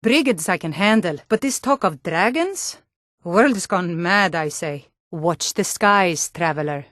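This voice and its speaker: A woman playing a tough, suspicious female Nord city guard in a fantasy video game, with a blunt, gruff, challenging and wry manner. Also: fairly low pitch, lively intonation, a little slow, a bit bright, no echo, clean studio sound. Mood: weary, wary.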